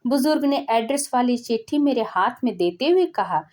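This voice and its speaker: A woman telling an emotional story as scripted narration, in a gentle, earnest way. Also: on the high side, animated, normal speed.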